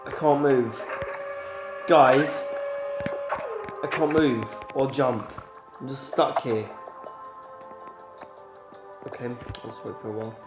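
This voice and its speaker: A man with a cold, sniffling, chatting casually to his viewers while he plays, and shouting when things go wrong. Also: mid-range voice, fairly even intonation, slow, long pauses. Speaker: gaming YouTuber. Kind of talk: casual gameplay commentary. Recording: roomy with loud game music.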